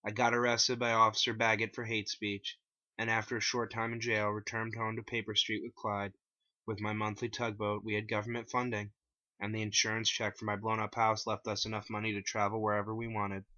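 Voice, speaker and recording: A man's voice: on the low side, completely flat delivery, a little fast, pushed and bright, dry recording, muffled recording. A man reading his own story aloud in a dramatic first-person narration, on a plain home recording.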